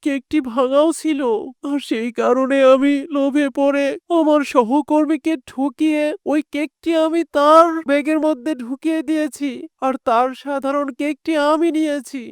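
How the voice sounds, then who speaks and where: A woman voicing a greedy, deceitful lame male beggar with a pleading manner in an animated moral story. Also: high voice, moderate pace, no echo, studio quality, close to an everyday voice. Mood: shocked, remorseful.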